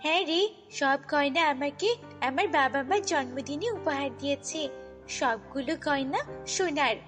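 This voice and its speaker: Snobbish cartoon rich kid played by a woman, very high, taunting and haughty, over background music. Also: measured pace, fairly bright tone. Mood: boastful, scornful. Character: boastful, snobbish rich little girl who mocks the poor. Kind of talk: children's fairy-tale cartoon voicing. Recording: long reverb, music bed.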